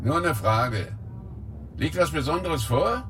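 A man's voice: expressive, slow pace. An actor playing a suspicious, chatty policeman with sly humour in a radio play, drawing out sardonic questions. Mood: jovial, surprised. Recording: clear street noise behind. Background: outdoor traffic rumble.

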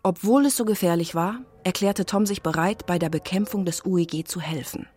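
A woman's voice: fairly low pitch, a little fast, darkish tone, breathy edge. A woman playing a nosy neighbour who narrates a children's radio play in a confiding, suspenseful way. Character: nosy gossipy neighbour woman telling the story. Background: faint music.

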